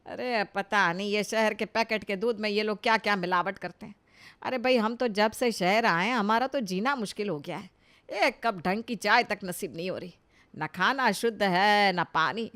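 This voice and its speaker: Woman acting a sharp, nagging village mother-in-law. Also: medium pitch, normal speed, natural voice. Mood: disgusted complaining. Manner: scolding, complaining, sarcastic. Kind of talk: Hindi animated family comedy story acting.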